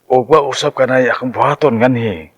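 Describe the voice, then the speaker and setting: A man's voice: medium pitch, fairly quick, breathy edge, lightly acted. An actor playing a pushy, amorous man of sixty-three in a radio drama, with a Central Visayan Filipino accent. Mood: coaxing, lecherous. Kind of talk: Cebuano radio drama acting.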